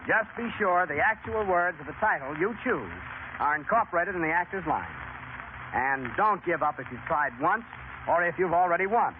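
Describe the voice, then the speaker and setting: A man's voice: sits high, expressive, normal speed. An American man hosting a 1930s radio quiz, chatty and folksy, speaking straight to listeners. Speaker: radio quiz show host. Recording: scratchy, narrow-band 1930s radio, noisy. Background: clear broadcast noise.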